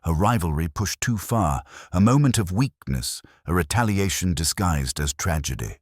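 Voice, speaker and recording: Casting: introspective male detective narrator performed by a man for an audio mystery, very deep, solemn and measured, with expressive intonation. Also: no room sound, studio quality. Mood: brooding. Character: brooding, introspective male English police detective recounting a case. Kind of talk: scripted first-person detective story narration.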